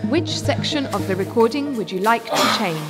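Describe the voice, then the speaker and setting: A woman's voice: a little high, expressive, normal speed, music in the background, natural voice. A woman voicing an impassive automated system in a drama, giving formal announcements.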